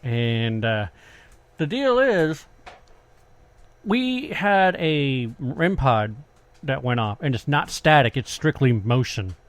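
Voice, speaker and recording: A man's voice: mid-range voice, sing-song, takes it slowly, no room sound. A man in his late forties hosting a podcast, chatting and telling stories in a casual, conversational way. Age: late 40s. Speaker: podcast host, paranormal investigator.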